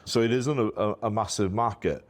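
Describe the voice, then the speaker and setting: Chatty middle-aged Welshman, low voice. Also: normal speed. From Wales. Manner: chatty, self-deprecating, full of "you know" and restarts. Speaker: MSP business owner, guest. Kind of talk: casual interview talk.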